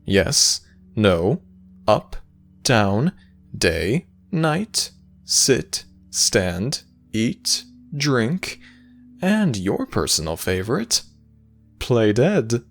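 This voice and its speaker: Sly, theatrical god, expressive male actor. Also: medium pitch, soft background music, heavily acted. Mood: teasing, amused. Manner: theatrical, sly, measured storytelling with dramatic pauses. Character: playful, teasing male Egyptian god of the afterlife. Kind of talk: scripted fantasy audio roleplay.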